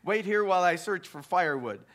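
A man's voice: high-pitched, lively intonation, a little fast, fairly bright tone. A man voicing a weak, sorrowful father from a fairy tale in a storybook reading. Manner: groaning, sad.

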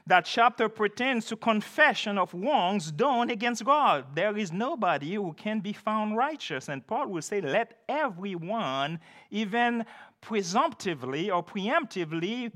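Emphatic, lively-pitched preacher with French roots. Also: male voice. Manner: deliberate, emphatic preaching with occasional self-deprecating laughs. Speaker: pastor. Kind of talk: sermon.

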